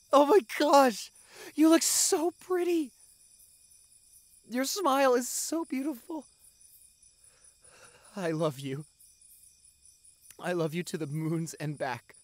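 A devoted young boyfriend played by a man in a romantic audio drama. He takes his time over every phrase. His delivery is soft, earnest and full of affection. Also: very high voice, dry recording, heavily acted. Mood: overjoyed, excited, then affectionate, relieved. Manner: soft, tender, earnest, unhurried. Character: tender, devoted young doctor boyfriend proposing to partner.

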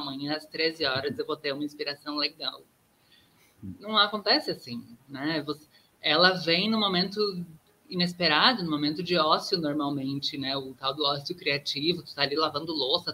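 A woman's voice: deep voice, sharp tone, a little hiss or hum, poor audio. A woman in her thirties from southern Brazil with a Gaúcho accent, a guest artist talking reflectively on a video call from a room at home, often ending thoughts with tag questions. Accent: Gaúcho Brazilian Portuguese. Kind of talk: casual interview talk. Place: home room with plants, indoors.

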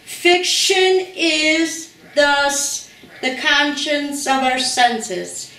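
Woman in her sixties, high voice, unhurried deliberate reading, echoey room from far off. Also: bright, forceful tone. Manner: slow, deliberate reading with self-corrections. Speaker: reader at a literary gathering. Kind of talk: reading a tribute aloud. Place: living room of a large house, indoors. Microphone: far camera.

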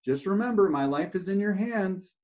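A man doing a slow pleading stranger. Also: high-pitched, lively intonation, darkish tone, pitched above the performer's own voice. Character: calm insistent stranger dangling from a rope, male adult. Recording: dull lo-fi recording.

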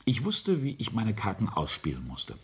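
A sardonic family patriarch in a radio drama. His voice is very low and husky, swinging widely in pitch as he mocks and accuses, sometimes dropping toward a whisper. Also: wildly swooping pitch, full character voice. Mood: cold menace. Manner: sardonic, deliberate, with whispered drops. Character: menacing, mocking family patriarch and ambitious industrialist. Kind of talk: German radio play acting.

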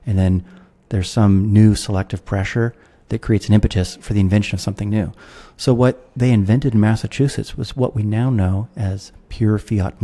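A man in his thirties with an American accent, a guest on a podcast interview who explains history in a measured, lecturing way over a video call. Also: on the low side, dark tone. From United States. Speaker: guest philosopher. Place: room at home with guitars. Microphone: studio mic with headphones, video call.

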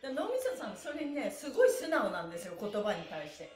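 A woman's voice: on the high side, wildly swooping pitch, quick pace. A middle-aged woman leading a live stretching class in a cheerful, encouraging, playfully polite way with rhythmic chants. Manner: polite, playful honorifics for body parts. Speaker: stretching instructor. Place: small bare-walled room indoors. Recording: echoey room.

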